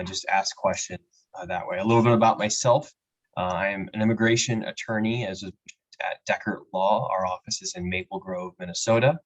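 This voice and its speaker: A man with a fairly low voice walks through a legal topic for a webinar audience. He is measured and explanatory and pauses often on fillers. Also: poor audio. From Peru. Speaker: immigration attorney, guest speaker. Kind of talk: webinar presentation. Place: home or office with a map on the wall.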